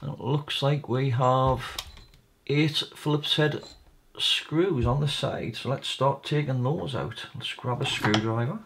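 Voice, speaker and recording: Man, medium pitch, repair video host, casual step-by-step narration, tentative, thinking aloud. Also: slow pace, gravelly, fairly bright tone, slight background noise. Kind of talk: casual hands-on narration while working at a bench. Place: indoor workbench.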